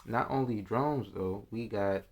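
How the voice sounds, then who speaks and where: Slow, deep-voiced laid-back man. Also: husky. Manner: laid-back, slangy, musing aloud with restarts. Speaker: vlogger reacting to news clips. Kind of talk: casual reaction commentary.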